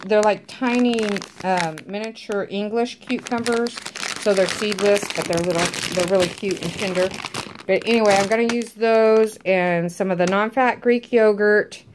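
A middle-aged American woman talks in a chatty, folksy way at a medium pitch. She is recorded at home on a handheld device, with clear background noise and crinkling packaging around her voice. Her delivery is informal and unscripted. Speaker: vlogger showing a grocery haul. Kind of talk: casual chat to camera. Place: indoors at home, at a table. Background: crinkling bags and handling clicks.

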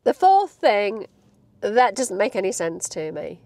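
A woman in her late fifties, a vlogger with a croaky voice from a cold, chatting casually to camera from a parked car with a lapel mic, animated and laughing often. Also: medium pitch, unhurried, no echo. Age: 50s.

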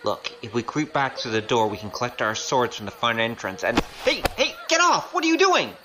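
An actor playing a cheeky, roguish young gambler with a wry manner, in an audio drama. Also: male voice, medium pitch, brisk, background noise, close to an everyday voice. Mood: wary, alarmed. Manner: animated, wry.

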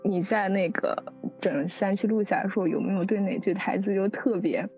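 A woman's voice: medium pitch, fairly quick, darkish tone. A woman co-hosting a casual chat in a playful, informal, slangy manner. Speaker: scriptwriter and co-host. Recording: dull lo-fi voice chat with music. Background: music.

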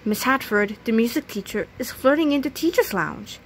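A woman reading a children's graphic novel aloud, expressively, reading sound effects out loud as well. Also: fairly high pitch, expressive, normal speed, slightly rough, low-quality recording. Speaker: reader.

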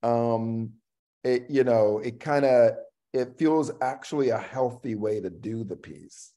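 A middle-aged man speaks at a medium pitch in casual conversation over a video call. He is reflective and halting, often repeating a word as he works toward his thought. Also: mellow. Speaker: actor, panel guest. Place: room at home, on a video call.

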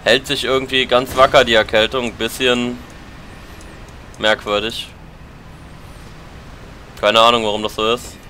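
German man with a bright, cold-tinged voice. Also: medium pitch, flat delivery, unhurried, pauses often, a little hiss or hum. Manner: chatty, thinking aloud while playing. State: has a lingering cold. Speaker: let's play commentator. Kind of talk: casual gameplay commentary.

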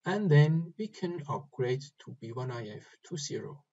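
A man giving step-by-step instructions for a software screen recording, speaking in short, halting phrases. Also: medium pitch, expressive, dull audio. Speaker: software tutorial presenter. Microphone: voice-over recorded over screen capture.

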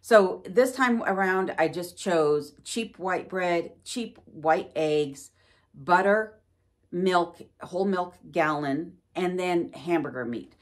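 A middle-aged woman vlogger explaining things to camera in a measured way, stressing key words. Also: fairly low pitch. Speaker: vlogger, host. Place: wood-panelled room at home, indoors.

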